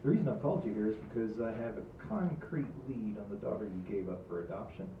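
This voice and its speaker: A man's voice: lowish voice, sing-song, fast. Male actor as blunt private eye. Phone-like sound, heavy noise. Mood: matter-of-fact. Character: male private investigator, blunt older brother. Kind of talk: comedy table read. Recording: echoey, distant, tinny, very noisy recording.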